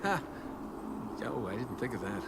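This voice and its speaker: A man's voice: mid-range voice, very animated, moderate pace, vocal fry. A man playing a wisecracking young adventurer hero in a video game, sarcastic and flippant. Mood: wry. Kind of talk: video game acting, casual banter. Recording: noisy game ambience behind.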